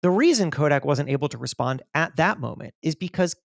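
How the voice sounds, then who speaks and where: A middle-aged man speaks as a podcast guest in an emphatic storytelling way, repeating key phrases to drive points home. His voice has noticeable creak, and the sound is dry and close. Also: fairly high pitch, animated, a little fast, no echo. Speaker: podcast guest, magazine editor and author. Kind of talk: animated storytelling in an interview.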